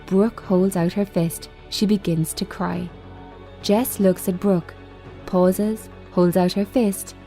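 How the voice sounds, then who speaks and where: A woman reading screenplay directions as scripted narration, in measured, short phrases. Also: medium pitch, fairly even intonation, mellow, faint music behind. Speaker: screenplay reader.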